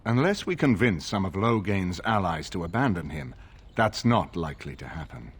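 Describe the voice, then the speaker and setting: Fantasy game lord: older, stern and formal, low register, slightly husky, widely inflected. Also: male voice, sing-song, full character voice. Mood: firm, grave. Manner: formal, measured, authoritative. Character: stern, grave older nobleman of a fantasy land. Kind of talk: video game dialogue acting.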